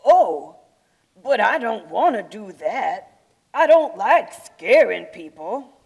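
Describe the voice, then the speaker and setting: A woman playing a gentle, timid, cute fluffy Yeti in a children's puppet show, speaking hesitantly with a creaky voice. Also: medium pitch, big pitch swings, takes it slowly, exaggerated voice, poor audio. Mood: worried. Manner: hesitant, reluctant, mild-mannered.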